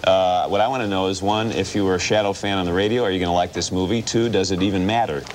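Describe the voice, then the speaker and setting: A man's voice: sits low, steady tone, fast talker. An American man hosting a morning television show, chatting casually as he interviews a guest. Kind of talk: casual live interview chat. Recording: clearly noisy TV broadcast recording.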